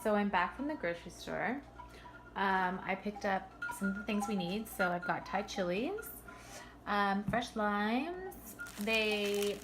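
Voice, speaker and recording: A woman's voice: mid-range voice, reverberant. A woman talking casually as an amateur vlogger, walking viewers through a home cooking demonstration. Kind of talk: casual chatty vlog narration and cooking demonstration. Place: on location at shops and a home kitchen. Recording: noisy amateur recording with kitchen clatter.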